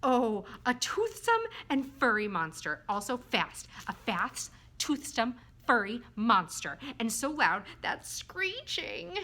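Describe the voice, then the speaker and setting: A small, childlike male storybook creature, played by a woman, earnest and easily spooked. The voice sits high and bright, with big dramatic swings in pitch. It sounds excitable and very sincere. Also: full character voice. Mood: dramatic, excited. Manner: childlike, earnest, dramatic, halting when scared.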